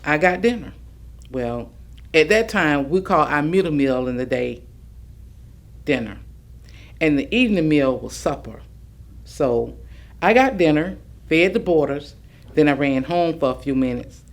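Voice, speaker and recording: A man's voice: fairly high pitch, expressive, unhurried, pauses often. A man performing a plain-spoken boarding-house worker's memories in a staged reading. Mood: matter-of-fact. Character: plain-spoken working woman recalling hard boarding-house chores. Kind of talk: staged monologue of oral history recollections.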